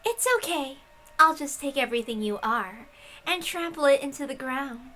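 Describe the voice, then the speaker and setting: A woman voicing a cruel witch of miracles for a story reading, mock-tender and sneering in a deliberate way. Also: high-pitched, pushed and bright, slight background noise. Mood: taunting. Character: cruel mocking female witch of miracles, smugly sweet.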